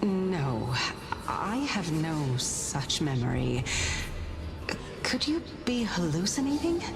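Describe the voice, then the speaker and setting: A composed, expressive lowish-voiced spirit. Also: female voice, slow pace, vocal fry, over music, exaggerated voice. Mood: puzzled. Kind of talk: video game voice acting.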